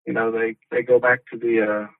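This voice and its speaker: A man's voice: mid-range voice, level tone, brisk, no room sound. A man answering interview questions over a call, hesitant, with lots of restarts and fillers. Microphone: phone call. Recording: tinny, distant phone line.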